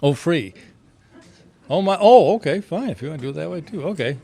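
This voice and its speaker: Halting man chairing an informal meeting. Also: a little high, animated, gravelly, mellow. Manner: hesitant, rambling, polite.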